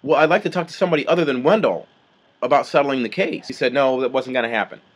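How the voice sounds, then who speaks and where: A man with a mid-range, slightly rough and husky voice is making a complaint by phone. He is assertive and argumentative, pressing his points in informal, indignant language. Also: mid-range voice, quick pace. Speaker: complaining caller, authorized third-party contact. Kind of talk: recorded customer complaint phone call.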